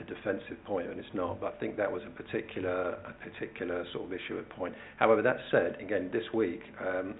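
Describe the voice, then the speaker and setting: A man with a very deep, creaky voice gives formal, hesitant answers as a council officer. His delivery is measured and barely varies in pitch, explaining things step by step at a committee meeting. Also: narrow, tinny audio, slight background noise.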